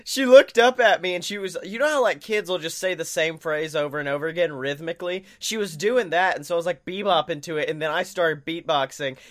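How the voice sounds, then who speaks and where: A man's voice: a little high, a little fast, hardly any pauses, fairly bright tone. A man co-hosting a comedy podcast, chatting casually with boisterous, goofy riffing. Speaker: podcast co-host.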